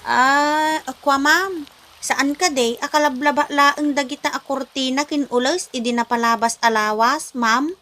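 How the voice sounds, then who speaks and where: A woman acting as a cheeky maid with a Filipino accent. Her voice is high and sharp, deferential on the surface while talking back, in comedy-drama style. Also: measured pace. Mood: questioning. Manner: deferential but argumentative. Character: cheeky, outspoken housemaid, polite yet talking back.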